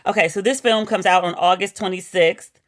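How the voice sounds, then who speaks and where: A woman's voice: medium pitch, fairly even intonation, normal speed, no room sound. A woman hosting a podcast, chatting casually and playfully as she reads out quotes and news items. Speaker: podcast host. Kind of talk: casual chat.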